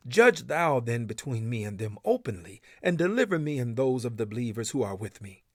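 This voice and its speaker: A man reading scripture aloud in English in a solemn, measured and deliberate way, with dramatic phrasing. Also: medium pitch, very animated, no echo, clean studio sound. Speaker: reader of a scripture translation.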